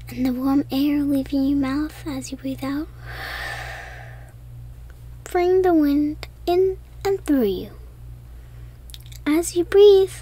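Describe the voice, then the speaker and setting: A woman with a high, light voice narrates a guided meditation for children. She speaks very slowly and leaves pauses between short phrases. Her delivery is gentle and hushed, often breathy. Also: rough recording.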